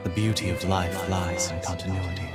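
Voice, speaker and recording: An actor playing an idealistic guardian leader in a video game, solemn, earnest and philosophical. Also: male voice, deep voice, monotone, moderate pace, over loud music, close to an everyday voice. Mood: solemn, resolved. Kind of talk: video game dramatic dialogue.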